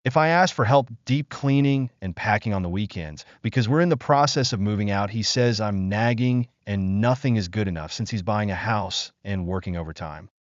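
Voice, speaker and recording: A man narrating a first-person relationship story from an online post in a steady, even reading voice. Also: lowish voice, normal speed, hardly any pauses, no room sound. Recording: dry, slightly dull lo-fi sound.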